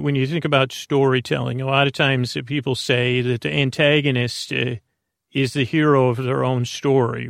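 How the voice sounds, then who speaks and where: A man with a creaky, gravelly voice hosts a sleep podcast. He meanders through a loose monologue, stopping, repeating himself and drifting off on tangents. The sound is close and dry. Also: mid-range voice, dry recording. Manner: halting, digressive, repeats and trails off. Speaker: host of a bedtime sleep podcast.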